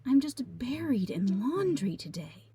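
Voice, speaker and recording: A woman's voice: fairly high pitch, sing-song, measured pace, distant mic, voice pushed up. A kindly, weary mother, played by a woman reading aloud in a children's storybook. She sounds stretched thin but caring, with music underneath. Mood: tired. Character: tired, stressed but kindly mom. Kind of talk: children's storybook character acting.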